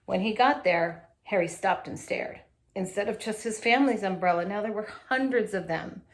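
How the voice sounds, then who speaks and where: A woman's voice: mid-range voice, moderate pace. Woman reading a picture book aloud expressively. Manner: animated, with asides to young listeners.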